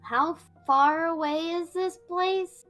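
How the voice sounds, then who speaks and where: Bright, hesitant woman in roleplay. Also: very high voice, slow pace, faint music behind. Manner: hesitant, stammering, repeats words. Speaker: roleplayer playing a reformed fire mage. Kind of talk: improvised character roleplay.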